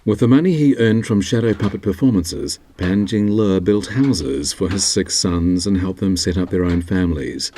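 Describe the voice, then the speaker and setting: A man's voice: low voice, normal speed, few pauses, mellow, a little hiss or hum. A man reading scripted documentary narration as the narrator.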